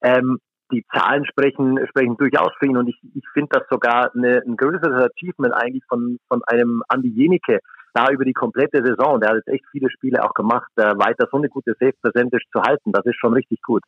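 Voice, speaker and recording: Guy in his 40s, husky voice, casual sports chat, kinda muffled dry line. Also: mid-range voice, fairly even intonation, fairly quick, no echo. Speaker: podcast guest. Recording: dull, muffled remote line.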